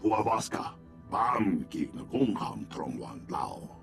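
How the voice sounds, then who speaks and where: Casting: declamatory video game alien, very deep gravelly voice, slow invented-language delivery, clear music underneath. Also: male voice, very animated, full character voice. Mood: intense, declamatory.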